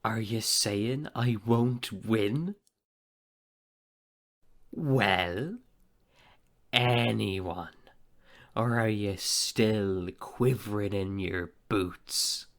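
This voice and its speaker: Bright, forceful, boastful captain. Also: male voice, fairly low pitch, very slow, long pauses, exaggerated voice. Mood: taunting. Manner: grandiose, pompous, dramatic storytelling. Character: boastful, swaggering young upstart pirate captain. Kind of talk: audio play acting.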